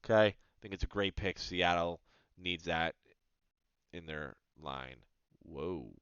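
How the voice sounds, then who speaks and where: A man hosting his own sports video, reading mock draft picks aloud and reacting to them in casual, animated commentary. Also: deep voice, smooth, no echo, muffled recording. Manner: animated, reads passages aloud then reacts with quick asides.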